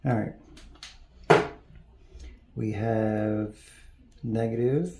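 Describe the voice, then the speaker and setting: A man's voice: lowish voice, steady tone, extremely slow, vocal fry. A man repairing electronics at a home workbench, thinking aloud and mumbling as he works. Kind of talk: casual workbench narration. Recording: echoey room, loud background noise.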